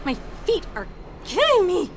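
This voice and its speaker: A woman's voice: very high voice, huge pitch swings, measured pace, a little hiss or hum, full character voice. An actress playing an impatient, sarcastic woman scientist with a whiny, complaining manner in an audio drama. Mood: weary, annoyed. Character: impatient, sarcastic young woman paleontologist, easily exasperated. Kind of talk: scripted audio drama acting.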